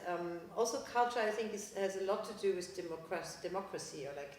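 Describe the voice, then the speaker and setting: A woman's voice: on the low side, darkish tone. Very echoey woman interviewing. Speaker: curator, interviewer. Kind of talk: conversational art interview. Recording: very echoey room.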